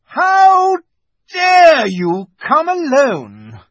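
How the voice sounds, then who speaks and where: A man's voice: mid-range voice, wildly swooping pitch, drawn-out words, warm tone, no echo, muffled recording, pitched above the performer's own voice, heavily acted. A man voicing a cruel, imperious witch queen in an audiobook story, with a terrible, commanding voice full of menace. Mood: furious. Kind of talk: audiobook character voice.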